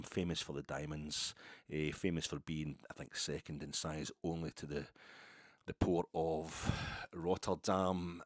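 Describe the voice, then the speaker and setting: Deep-voiced rambling Scottish man. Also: dry recording, clean studio sound. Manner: rambling, wry, colloquial. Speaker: football fan podcast host. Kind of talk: casual solo chat.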